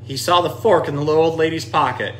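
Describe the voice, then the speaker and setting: A man reads a children's storybook aloud with expressive, dramatic delivery. His voice sits a little higher than most men's. Some noise is audible behind him. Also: fast talker, rough recording. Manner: expressive, dramatic dialogue readings. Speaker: storyteller reading a picture book aloud. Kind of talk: animated children's storybook reading.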